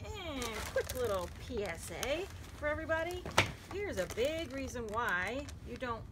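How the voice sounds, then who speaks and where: A woman's voice: high-pitched, big pitch swings, moderate pace. A middle-aged woman, chatting casually and upbeat as a vlog co-host while sorting clothes outdoors. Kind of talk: casual chat. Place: outdoors in a yard. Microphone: handheld phone camera. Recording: noisy outdoor phone recording.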